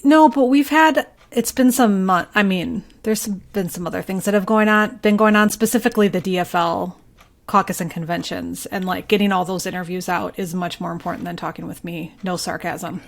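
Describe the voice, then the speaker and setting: A woman's voice: on the low side, moderate pace, vocal fry. A woman talking casually and conversationally as a regular guest co-host on a podcast. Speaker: recurring podcast co-host and local journalist.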